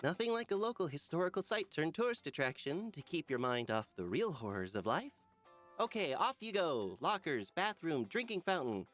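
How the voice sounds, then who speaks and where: An animated male schoolteacher played by an actor addresses a class with theatrical cheer and dry wit. The pitch swings widely around a middle register, with soft music underneath, as in a visual novel. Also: moderate pace. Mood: playful. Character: upbeat, dry-humored middle school teacher, a man.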